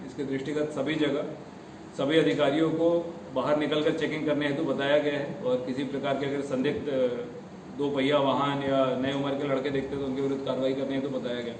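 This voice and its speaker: A man's voice: mid-range voice, little pitch movement, a little fast. A man, an official giving a formal press statement in officialese sprinkled with English terms. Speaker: police or administration official. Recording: echoey, distant mic, noisy ambience.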